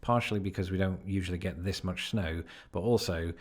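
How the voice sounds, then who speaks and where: A man from Britain with a very low, deep voice narrates a vlog. His delivery is dry and sardonic, with deadpan asides. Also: a little fast. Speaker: vlogger in the original video. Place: lives in the American Midwest.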